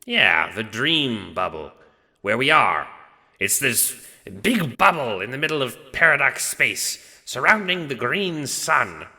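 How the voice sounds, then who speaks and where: Audio drama role: big dim lug of a death god played by a man, pitched up, swooping, bitter and crude, heavy reverb. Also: very animated, takes it slowly, bright, forceful tone, full character voice. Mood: casual, matter-of-fact. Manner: blunt, slangy, casually profane.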